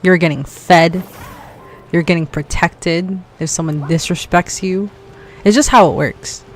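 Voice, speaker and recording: A woman from Canada, a streamer, chatting casually, talking fast with lots of "like" and quick laughs. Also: lowish voice, a little hiss or hum. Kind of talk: casual chat.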